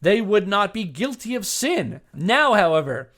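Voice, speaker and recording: A man's voice: high voice, very animated, a little slow, fairly bright tone, voice pushed up, heavily acted. A man playing an indignant, self-righteous attorney delivering a defiant speech in grandiose, preachy oratory, as a dramatic reading. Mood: solemn, preachy. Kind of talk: dramatic reading of a legal statement.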